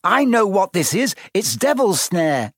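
A man voicing a bossy, anxious, quick-thinking schoolgirl witch in a storybook reading, with urgent, snappish delivery. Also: a little high, very animated, vocal fry, slightly rough, dry recording, clean studio sound, voice pushed up, exaggerated voice.

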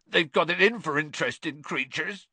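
A man voicing a huge, soft-hearted, distraught gamekeeper in an audiobook, with choked, tearful, rustic and ungrammatical speech. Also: fairly low pitch, expressive, moderate pace, no room sound. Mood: tearful, despairing.

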